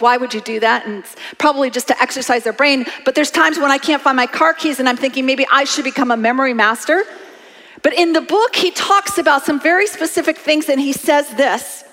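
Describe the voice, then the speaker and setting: A woman in her late fifties preaching a sermon in an animated, conversational way, calling on the congregation to respond. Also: high voice. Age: 50s. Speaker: pastor. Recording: long hall reverb.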